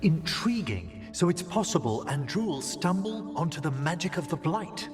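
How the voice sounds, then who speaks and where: An actor playing a refined, courteous scholar in a fantasy video game cutscene, formal and precise. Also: male voice, fairly high pitch, big pitch swings, normal speed. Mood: intrigued. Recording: long hall reverb, faint music.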